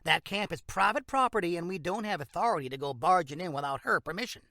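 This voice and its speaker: A man's voice: high voice, expressive, fast talker, sharp tone, dry recording, studio quality, heavily acted. A man acting as a frank, condescending small-town sheriff in an audio drama. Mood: firm, dismissive. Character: dismissive, bureaucratic small-town male sheriff.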